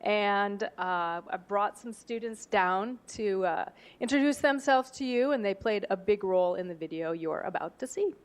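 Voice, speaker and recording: A woman choir director steps up to introduce her students' performance at a board meeting. Her voice is mid-pitched and friendly, with small hesitations as she goes. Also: expressive, moderate pace, few pauses, smooth. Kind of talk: brief public introduction.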